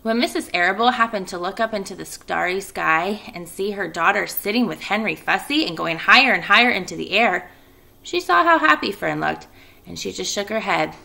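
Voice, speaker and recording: A woman's voice: mid-range voice, animated, a little fast, creaky, sharp tone. A woman speaking as an elementary school teacher, addressing and reading to children. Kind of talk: children's read-aloud and casual class announcements.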